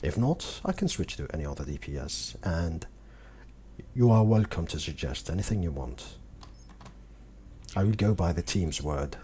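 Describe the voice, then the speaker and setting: A young man streaming a game, talking slowly and calmly in a soothing voice. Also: deep voice, a little hiss or hum. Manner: slow, calm, deliberate talk. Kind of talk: casual gaming stream chat.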